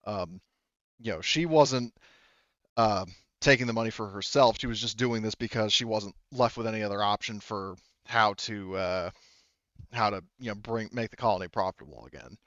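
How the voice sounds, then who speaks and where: A man's voice: on the low side, fairly quick, a bit bright, no room sound, highs cut off. A man improvising as game master, explaining things in a rambling way and often rephrasing. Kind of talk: improvised tabletop game narration.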